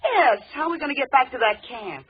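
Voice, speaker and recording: Sarcastic, brassy New gal. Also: female voice, high voice, big pitch swings, quick pace. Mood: alarmed, snappy. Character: wisecracking, grumbling tough city gal, young woman. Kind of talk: 1940s radio acting. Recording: muffled old radio recording.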